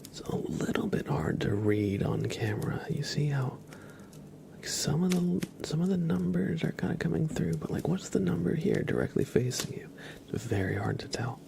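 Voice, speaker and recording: A man hosting an ASMR livestream, chatting softly and breathily to viewers, unhurried and often repeating words. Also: fairly high pitch, lively intonation. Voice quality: whispered, breathy soft voice. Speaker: livestream host.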